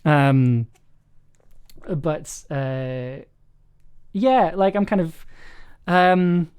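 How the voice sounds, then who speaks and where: A man's voice: fairly high pitch, expressive, very slow, darkish tone, clear, resonant tone. Enthusiastic, rambling man hosting a livestream. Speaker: host, audio drama writer and producer. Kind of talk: casual livestream chat. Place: remote online call on a livestream.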